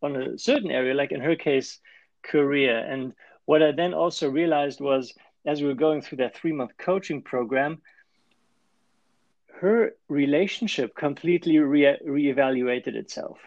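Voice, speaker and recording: Guest coach, male, German accent, somewhat high-pitched gravelly voice, close dry recording. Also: unhurried, darkish tone, dry recording, muffled recording. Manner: explanatory, restarts phrases. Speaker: life and performance coach, guest. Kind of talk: podcast interview.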